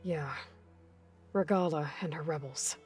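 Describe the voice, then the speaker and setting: An actress playing a guarded, determined young heroine in a video game cutscene, talking in a terse, blunt and distrustful way. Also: female voice, low voice, measured pace, darkish tone. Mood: skeptical. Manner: terse, skeptical, challenging. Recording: background music under the dialogue.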